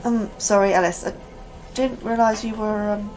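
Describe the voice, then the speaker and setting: A woman's voice: medium pitch, fairly even intonation, moderate pace, a little mellow, soft background music, lightly acted, poor audio. An actress playing an anxious, apologetic woman scientist in a sci-fi audio drama, hesitant and earnest. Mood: awkward, apologetic. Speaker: actor in a sci-fi horror fiction podcast.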